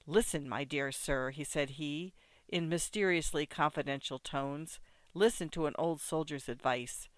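Brief: reader voicing an old grenadier, theatrical and exclaiming, smooth delivery. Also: medium pitch, animated, measured pace, no echo. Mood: confidential. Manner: loud, exclaiming, full of oaths and toasts. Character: boisterous old French ex-grenadier, loud and theatrical. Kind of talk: audiobook character voice.

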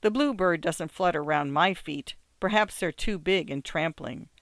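Man acting a gushing, mocking wealthy wife, pitched high. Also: a little slow, a bit bright, dry recording, studio quality. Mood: excited, gushing. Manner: ironic, teasing, exclamatory, condescending. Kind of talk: acted literary short story.

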